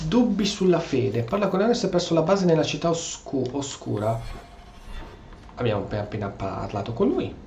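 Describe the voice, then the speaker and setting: A man's voice: on the high side, a little fast, roomy sound, slight background noise. A man giving casual, reactive commentary as he plays a video game. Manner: animated, reactive. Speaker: gameplay streamer.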